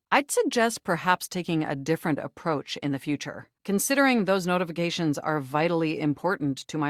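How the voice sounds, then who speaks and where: A woman's voice: lowish voice, no room sound, studio quality, natural voice. A woman voicing an earnest, lovestruck, anxious desktop computer in a dating game, with formal, wordy, precise diction. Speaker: video game character voice actor. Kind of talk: scripted dating game dialogue.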